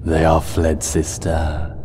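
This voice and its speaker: A man voicing a cruel, monstrous mare villain in a dark fantasy story, taunting in drawn-out words and hissed whispers. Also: very deep voice, completely flat delivery, long reverb tail, pitched below the performer's own voice. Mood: taunting, sinister.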